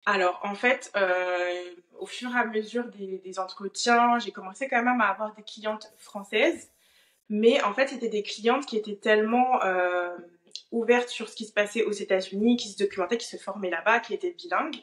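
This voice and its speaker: A woman's voice: medium pitch, normal speed, a bit bright. French woman in an echoey room. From France. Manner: thoughtful, many fillers. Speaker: guest, online business manager. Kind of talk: casual interview answers. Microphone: video call.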